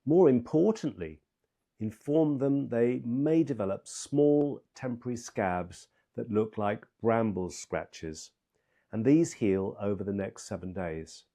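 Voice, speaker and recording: A man with a soft-edged, mellow voice at a middling pitch presents scripted instruction as a medical trainer. He speaks in a measured, step-by-step manner and leans firmly on key words. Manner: emphatic, measured, step-by-step delivery.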